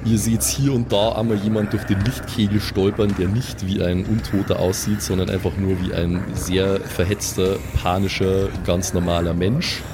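A man's voice: low voice, flat delivery, moderate pace, hardly any pauses, noisy background. A man, improvising the narration of a pen-and-paper audio play as game master. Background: music and outdoor ambience.

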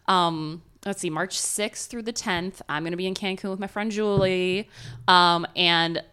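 Podcast co-host: loud, nasal woman, lowish voice, casual and laughing. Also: steady tone, unhurried, clear, resonant tone. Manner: loud talker, swears casually, laughs often. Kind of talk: casual chat.

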